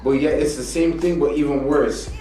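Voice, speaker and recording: A man's voice: a little high, steady tone, moderate pace, dark tone. A man, co-hosting a podcast, arguing insistently in a heated casual debate, swearing and repeating himself for emphasis. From Canada. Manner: insistent, argumentative, swears often, repeats "you know what I mean". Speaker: podcast co-host. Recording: echoey room, mic far off, faint music.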